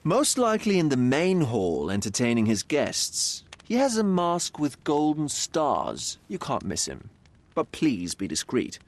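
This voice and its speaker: An actor playing a discreet, anxious male party guest who speaks in a hushed, cautious way. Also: fairly high pitch, wildly swooping pitch, measured pace, heavily acted.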